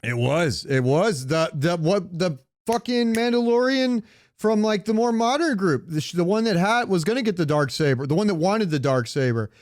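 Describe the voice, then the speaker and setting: A man's voice: sits high, lively intonation, normal speed, darkish tone, no room sound, clean studio sound. Clean-voiced man chatting casually. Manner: informal, sweary. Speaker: podcast co-host. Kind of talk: casual banter about films and pop culture.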